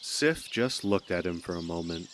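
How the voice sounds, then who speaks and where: A man's voice: deep voice, lively intonation, normal speed. A man narrating a scripted audio drama as a storyteller. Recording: noticeable background noise.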